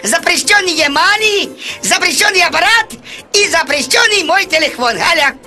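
Woman doing a high-pitched bossy cartoon customs officer. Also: animated, moderate pace, bright, forceful tone, heavily acted. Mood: officious. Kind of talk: comic cartoon dub. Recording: music behind the voice.

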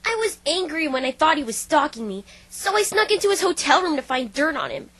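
A woman's voice: sits high, big pitch swings, a little fast, fairly bright tone. A woman voicing a brash, defensive young female pegasus pony in a fan dub, with a casual, cocky delivery. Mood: casual, confiding. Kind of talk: fan dub voice acting of a visual novel. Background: music.

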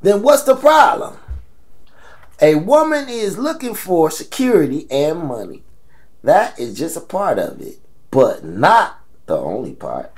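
Man playing elderly American grandfather, audio drama, unhurried, hugely animated, teasing, salty. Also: fairly high pitch, exaggerated voice. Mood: advising. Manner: salty, slangy, scolding. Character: blunt, teasing, lusty old grandfather.

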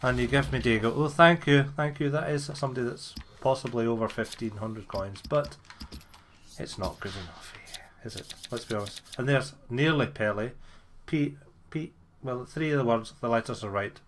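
Male gamer, mid-pitched, grumbling and sarcastic, swears freely, casual commentary over faint noise. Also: moderate pace. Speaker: gamer making a video.

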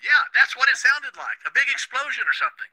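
A man's voice: very high voice, expressive, fast talker, sharp tone, heavily acted, rough recording. An actor playing a jumpy, bossy, impatient radio station man in a game radio drama, urgent and commanding. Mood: alarmed, impatient.

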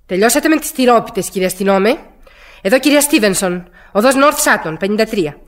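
An actress in a radio drama playing an anxious, querulous bedridden wife, agitated, hesitant and insistent. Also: female voice, on the high side, expressive, close to an everyday voice. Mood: anxious, flustered.

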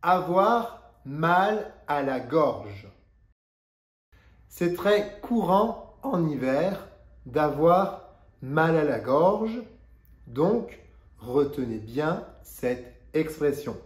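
A man in his forties from France with a stuffy nose from a cold, teaching a language lesson to camera slowly and deliberately. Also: on the high side, sing-song, reverberant. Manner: slow, deliberate teaching delivery. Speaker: French teacher. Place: living room indoors. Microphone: lapel mic.

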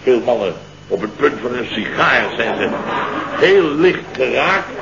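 An actor playing a flustered, indignant man who tells a farcical tale of woe in halting, repetitive bursts, in a radio comedy. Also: medium pitch, big pitch swings, gravelly, over a noisy crowd. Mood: aggrieved. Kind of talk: comic radio play dialogue. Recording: dull, noisy early-1970s radio recording.

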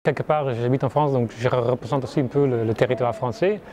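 A man's voice: mid-range voice, fast talker. Guy artist, earnest, keeps repeating words, mid voice, busy crowd behind. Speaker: artist working with reclaimed wood. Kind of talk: interview at an art fair. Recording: crowd noise in a hall.

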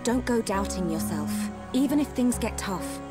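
A woman's voice: on the high side, expressive, normal speed, natural voice. Actress as earnest young British woman soldier, music. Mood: encouraging. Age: young adult. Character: steadfast young woman soldier, caring and frank. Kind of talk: video game cutscene acting. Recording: game music behind the voice. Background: game soundtrack music.

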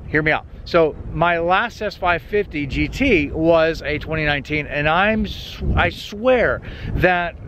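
Hoarse, hyped-up man outdoors in the wind. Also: a little slow. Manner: energetic, hyped-up pitch. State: slightly hoarse. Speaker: car channel host. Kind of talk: casual car vlog. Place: outdoors on an open paved lot. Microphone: camera held at arm's length. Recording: outdoor clip with loud wind noise. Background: wind noise.

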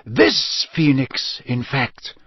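A man voicing a weary, wise old wizard headmaster with a slow, solemn, measured delivery. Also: mid-range voice, huge pitch swings, breathy edge, no room sound, highs cut off, exaggerated voice. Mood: explaining.

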